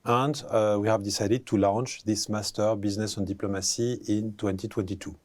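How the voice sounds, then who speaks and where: A man, a professor answering interview questions, pausing often with fillers and restarting phrases. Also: lowish voice, steady tone, a little mellow. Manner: hesitant, many fillers and restarts.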